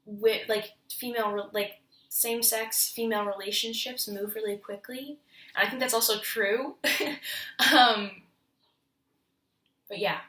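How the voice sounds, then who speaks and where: A woman's voice: on the high side, bright, forceful tone, reverberant, recorded from a distance. A young woman talking casually and hesitantly, using lots of fillers. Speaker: interviewee. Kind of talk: casual talk.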